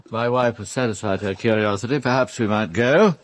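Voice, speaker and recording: An actor playing a restrained husband in a radio drama, answering in clipped, controlled phrases. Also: male voice, lowish voice, brisk, slight background noise, natural voice. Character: terse, restrained husband keeping his composure.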